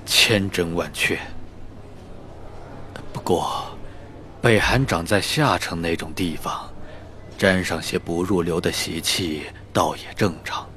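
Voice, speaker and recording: An actor playing a deferential, discreet and coolly sinister family servant in an audio drama, measured and obsequious. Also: male voice, sits low, slight background noise, exaggerated voice. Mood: careful, deferential. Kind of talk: Chinese audio drama acting. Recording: soft music behind the voice.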